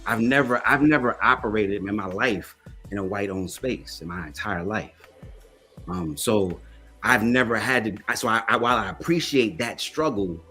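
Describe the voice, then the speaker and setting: A man's voice: fairly low pitch, normal speed, bright, forceful tone, faint music behind. A man speaking with passion in a panel discussion, emphatic and repeating phrases for force. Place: online video panel.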